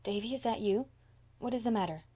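A gentle young woman from a storybook , voiced by a woman reader. She speaks softly and patiently, with the kindly gravity of someone comforting a child. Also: a little high, fairly quick, husky, pitched above the performer's own voice. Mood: soft concern. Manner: soft-spoken, grave, kindly. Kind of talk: audiobook character voice.

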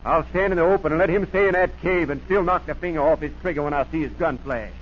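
A muffled old radio recording with light noise carries a man acting a hot-headed farmer. He speaks in a fairly high voice, rustic and scornful, spoiling for a gunfight in 1940s drama style. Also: fast, lightly acted. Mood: belligerent. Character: hot-headed, vengeful backwoods farmer with a gun.

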